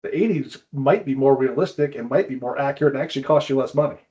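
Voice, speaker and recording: A man giving a conversational expert explanation as an interview guest, informal and halting, often repeating words. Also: medium pitch, mellow. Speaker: guest climbing wall consultant. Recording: dull, lo-fi audio.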